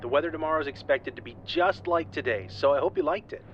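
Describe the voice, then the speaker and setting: A chipper radio news announcer acted by a man in an audio drama, reading in a brisk bulletin style. The male voice is a little high, under loud vehicle noise. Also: highs cut off, natural voice. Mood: brisk, informative. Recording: very noisy, vehicle sound behind.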